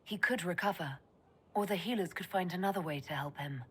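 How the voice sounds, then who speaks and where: Female elf leader, lowish husky voice, dry, composed, firm, wry, video game dialogue. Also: brisk. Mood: calm, firm. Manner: dry, level-headed.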